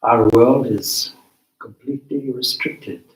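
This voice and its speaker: Male meditation guide: lowish pitch, very unhurried, deliberate delivery with long pauses, recorded in an echoing room. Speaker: meditation teacher, swami. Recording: roomy, echoing space.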